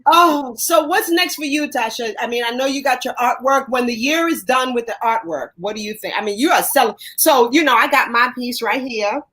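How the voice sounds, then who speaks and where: A woman's voice: on the high side, brisk, few pauses, a bit bright. A middle-aged woman hosting a casual video-call chat, bubbly and encouraging, laughing often. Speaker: guest host.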